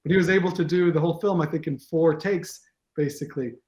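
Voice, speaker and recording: A man's voice: on the high side, fast talker, darkish tone. A man with an American accent, who grew up in Israel, chatting as a guest on a video call, rambling with many fillers and restarts. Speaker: guest, team captain. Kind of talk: casual video-call interview. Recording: echoey room.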